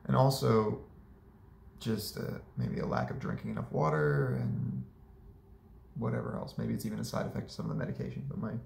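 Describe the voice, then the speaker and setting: A hoarse young man giving a casual, chatty update in a small living room, filmed by a camera a few meters away. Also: mid-range voice, fairly quick, recorded from a distance, slight background noise. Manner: relaxed and chatty, with many fillers. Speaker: vlogger. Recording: echoey room, voice off-mic.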